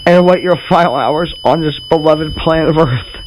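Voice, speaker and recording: A faint hum sits under a fairly high-voiced man acting as a government representative in an amateur emergency broadcast drama. He delivers a solemn doomsday farewell haltingly, repeating himself. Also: lightly acted. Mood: grave, resigned. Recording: noisy home recording with hum.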